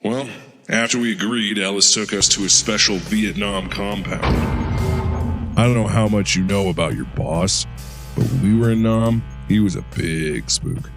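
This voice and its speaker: An actor playing a veteran male super-soldier who calmly recounts his past in an audio drama. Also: moderate pace, faint music behind, lightly acted. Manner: steady recounting, low-key storytelling.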